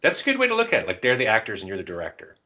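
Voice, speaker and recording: A man co-hosting a podcast, chatting casually and telling stories by acting out quick bits of dialogue. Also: fairly low pitch, wildly swooping pitch, pushed and bright, dull audio. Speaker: podcast co-host. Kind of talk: casual chat about tabletop roleplaying.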